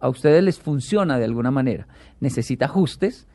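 Gravelly man telling stories. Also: mid-range voice, a little fast, no echo. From Colombia. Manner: animated storyteller, acts out quotes. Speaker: guest. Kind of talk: casual chat.

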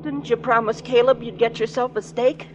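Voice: medium pitch, fairly even intonation, normal speed, noisy background, natural voice. An actor playing an earnest young assistant in a 1940s radio drama, pleading and eager.

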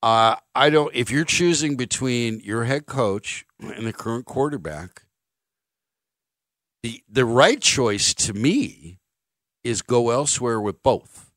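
A man's voice: medium pitch, lively intonation, slow pace, fairly bright tone, no room sound. A man hosting sports talk radio, giving an opinionated monologue in a blunt, emphatic way, repeating phrases for stress. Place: dry radio studio.

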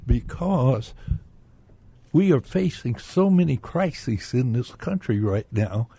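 Gravelly, husky man, radio guest. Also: mid-range voice, lively intonation, takes it slowly, dark tone, no room sound. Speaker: guest, bank director. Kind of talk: radio interview.

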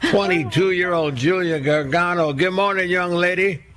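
A man's voice: high voice, moderate pace. A male radio host interviewing a guest in a friendly, folksy, joking way.

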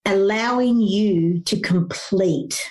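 A middle-aged woman speaks as a grief coach in an interview. She explains her ideas in an earnest, flowing, teacherly way, often restarting her sentences and filling pauses with small sounds. Her voice sits at an ordinary pitch for a woman. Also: darkish tone. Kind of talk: conversational interview.

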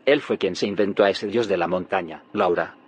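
An actor playing a grave, secretive scientist in an audio drama, solemn and explanatory. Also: male voice, low voice, fairly quick, slightly rough. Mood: grave, convinced. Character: grave, secretive male scientist haunted by failed timelines. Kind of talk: audio fiction drama acting.

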